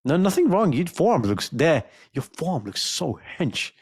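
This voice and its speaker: A man with a gravelly, fry-edged voice chats loosely on a podcast. He tells stories animatedly and jokes around. The sound is dry and close, with no room around it. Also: a little high, normal speed, no room sound. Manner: animated, jokey storyteller. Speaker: podcast co-host. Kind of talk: casual podcast chat.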